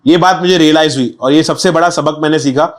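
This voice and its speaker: A man's voice: a little high, fast. A young man, a guest on a podcast, speaking candidly and reflectively in casual street slang. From India. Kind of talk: casual interview chat.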